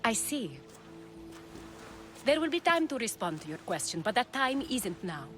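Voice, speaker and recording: Poised, shrewd courtesan leader. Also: female voice, fairly high pitch, big pitch swings, normal speed, fairly bright tone. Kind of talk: video game cutscene acting. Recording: music behind the dialogue.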